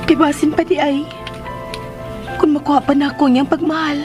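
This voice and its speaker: A woman's voice: sits high, flat delivery, moderate pace, music in the background, close to an everyday voice. A woman playing a meek, anxious young woman, hesitant and submissive, in a radio drama. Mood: sad, musing.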